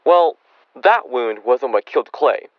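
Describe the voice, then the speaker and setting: A dry, muffled radio western recording of a man acting as a frontier town doctor. He is talkative and folksy, with a clinical, apologetic manner and a darkly flippant streak, at a middling pitch. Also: expressive, a little slow, dry recording, close to an everyday voice. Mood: matter-of-fact. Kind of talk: old-time radio western drama acting.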